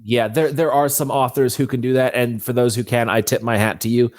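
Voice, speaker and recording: A man's voice: mid-range voice, steady tone, a little fast, no room sound, studio quality. A man speaking conversationally as an interview guest, an author explaining how he works. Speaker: fantasy author, podcast guest.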